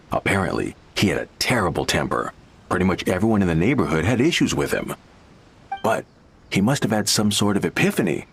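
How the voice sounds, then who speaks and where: A mid-pitched male voice with lively swings, a smug game client who leans in to share gossip and scorns rivals. Soft game music sits behind him. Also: slightly rough, heavily acted. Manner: smug, confiding. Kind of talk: game voice acting.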